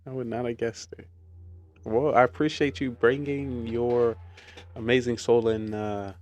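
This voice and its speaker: A man's voice: mid-range voice, unhurried. A man hosting a casual gaming stream, joking around and laughing often. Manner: playful, laughs often, lively. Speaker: stream host.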